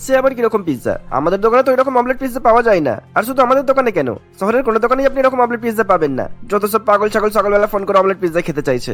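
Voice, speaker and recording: Harried, indignant male shopkeeper for a cartoon; very high pitch, breakneck emphatic delivery. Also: animated, racing, hardly any pauses, fairly bright tone, faint music behind. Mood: baffled, then scornful. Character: indignant, harried male pizza shop owner on the phone. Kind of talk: cartoon dialogue acting.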